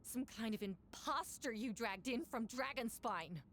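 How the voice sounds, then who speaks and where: An actress playing an indignant, accusatory woman knight captain in a game, forceful and dramatic. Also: a little high, expressive, a little slow, a little hiss or hum. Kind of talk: English game voice acting.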